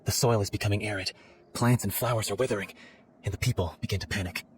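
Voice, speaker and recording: An actor playing an excitable, earnest theatre scriptwriter in a video game, wordy and given to telling stories. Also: male voice, on the low side, quick pace, background noise, lightly acted. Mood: earnest storytelling.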